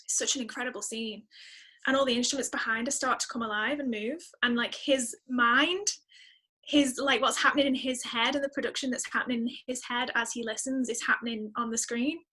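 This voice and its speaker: A woman's voice: fairly high pitch, steady tone, fairly quick, pushed and bright, poor audio. A woman chatting casually as a guest, emphatic and thinking aloud as she goes. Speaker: podcast guest, singer-songwriter. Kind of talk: casual chat.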